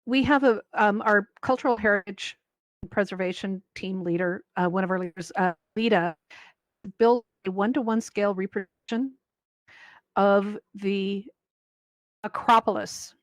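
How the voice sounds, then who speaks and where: A woman's voice: mid-range voice, a little slow, dry recording. A woman moderating a panel informally, in a wordy, rambling way. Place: virtual reality event, voice over personal microphone.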